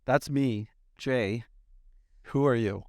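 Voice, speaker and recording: A man, hosting a casual podcast in a relaxed, thoughtful way, pausing to find his words. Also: medium pitch, no room sound. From Sweden. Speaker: podcast host.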